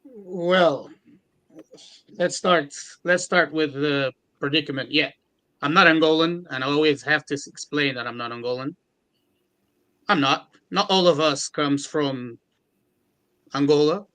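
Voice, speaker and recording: A man's voice: on the high side, measured pace, long pauses, fairly bright tone. A man, a DJ and event organiser, talking earnestly and reflectively in a panel discussion and often restarting phrases. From Portugal.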